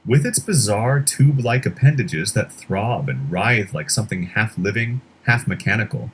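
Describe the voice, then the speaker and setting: A man narrating a scripted horror explainer in a measured, dramatic storytelling way. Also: lowish voice, expressive, a bit bright, a little hiss or hum.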